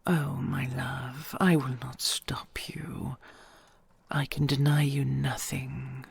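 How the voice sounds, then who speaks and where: An ancient dragon queen played by a woman murmurs in a slow, heavily creaking voice, very breathy and husky. She is imperious yet doting, with a possessive tenderness in a regal, formal manner. It is an intimate audio roleplay performance, close and dry. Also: a bass voice, level tone, no echo, full character voice. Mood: indulgent, regal. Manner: slow, regal, formal endearments with soft sighs.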